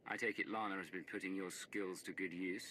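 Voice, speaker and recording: Composed male lead for a game. Deep, forceful, fast-spoken, direct. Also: steady tone, slight background noise, poor audio. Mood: curious. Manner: brisk, to the point. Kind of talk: scripted game character dialogue.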